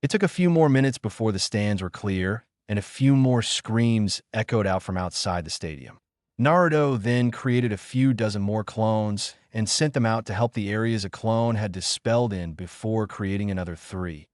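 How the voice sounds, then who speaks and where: A man with a fairly low voice narrates a scripted adventure story. The recording is dry and close, with very little room sound around the words. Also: moderate pace, dry recording. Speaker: narrator of fan fiction story videos. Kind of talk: scripted story narration reading dialogue and action.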